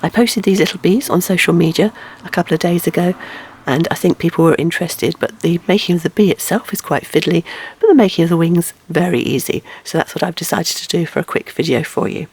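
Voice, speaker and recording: Croaky, hoarse woman hosting a craft tutorial. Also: lowish voice, fairly quick, darkish tone. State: croaky, hoarse from sore throat. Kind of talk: casual tutorial introduction.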